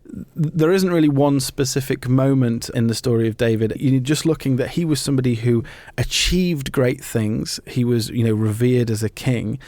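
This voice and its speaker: A man's voice: mid-range voice, normal speed, few pauses, a little mellow, no echo. A man hosting a podcast, talking conversationally about faith in an earnest, explanatory way. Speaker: podcast host. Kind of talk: conversational talk on faith and football.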